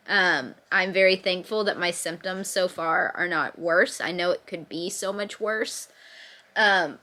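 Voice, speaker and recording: Bright-voiced sick woman, stuffy. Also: mid-range voice. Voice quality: occasional cough, slightly stuffy nose. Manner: chatty, laughs through her complaints. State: sick with fever, congested. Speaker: vlogger. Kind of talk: casual chat to camera. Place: in bed at home, bundled in a sweatshirt.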